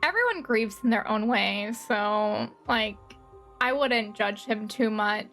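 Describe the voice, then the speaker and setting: A woman's voice: mid-range voice, lively intonation, slow pace. A woman streamer giving casual, sympathetic, cooing reactions to a game. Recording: soft game music behind.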